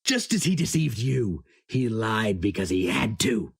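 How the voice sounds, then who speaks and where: A man's voice: on the low side, big pitch swings, a little slow, creaky, husky, voice pushed up, full character voice. A man voicing a scornful, fervent, half-mad male sorcerer in a dramatic fantasy story reading, theatrical and hectoring. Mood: desperate, impassioned.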